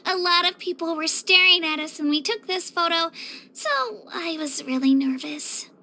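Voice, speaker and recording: Very high, bright young girl. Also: fairly even intonation, moderate pace. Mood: shy. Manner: earnest, youthful, gentle. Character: earnest, sweet, self-doubting young girl hero from fantasy game. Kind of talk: anime-style video game voice acting.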